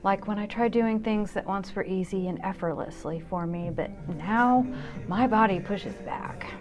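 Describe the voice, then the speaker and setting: A woman's voice: medium pitch, unhurried, music in the background. A woman in her fifties hosting a solo show, narrating a scripted personal essay in a wry, candid manner. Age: 50s.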